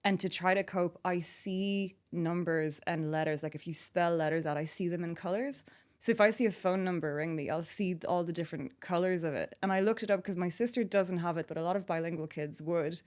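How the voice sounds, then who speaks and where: A chatty woman from France, a podcast guest whose English carries a mixed international accent. She talks casually, often saying "like" and "um". Her voice sits slightly low for a woman. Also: fairly quick, darkish tone. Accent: mixed international, slightly Irish-tinged English. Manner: chatty, with frequent "like" and "um", laughing easily. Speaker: guest, author and yoga teacher.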